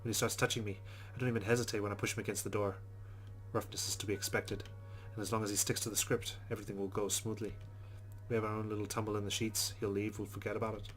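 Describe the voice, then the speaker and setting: A man reads as a rueful young woman narrating her private thoughts in a visual novel. The voice is low and even, with a put-on Irish accent, over soft music. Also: quick pace, close to an everyday voice.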